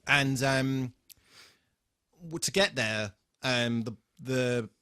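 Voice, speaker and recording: A man with a bright, forward voice, talking casually as a podcast co-host. He speaks haltingly, swears freely and pokes fun at himself as he tells stories. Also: medium pitch, smooth. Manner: sweary, halting, self-deprecating. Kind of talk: casual chat, personal anecdotes.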